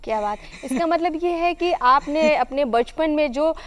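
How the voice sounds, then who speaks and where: A woman works as a television interview host. Her voice sits very high in pitch. She talks in effusive, ornate sentences as she guides her guest along. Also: measured pace. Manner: effusive, flowery phrasing. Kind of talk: broadcast interview hosting.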